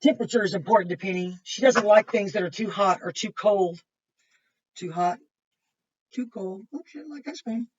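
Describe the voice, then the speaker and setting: A woman's voice: mid-range voice, moderate pace, darkish tone, husky. A woman kindergarten teacher reading a picture book aloud to young children, with simple explanations and chatty asides. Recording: muffled, distant microphone.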